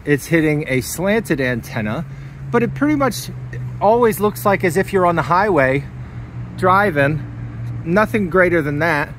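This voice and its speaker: A man's voice: fairly high pitch, animated, a little slow, a bit bright. A man giving a casual, hands-on demonstration in a plainspoken, enthusiastic way, as a hobbyist vlogger. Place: inside a parked vehicle and outdoors in a driveway. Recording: clear background noise from car and outdoors.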